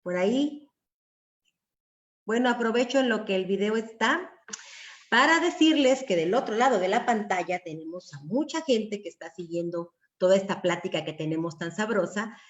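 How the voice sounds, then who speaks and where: A woman's voice: mid-range voice, lively intonation, moderate pace. A woman with a Mexican accent moderating an informal live panel in a chatty, conversational way. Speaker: host and moderator. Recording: echoey room, dull lo-fi call audio.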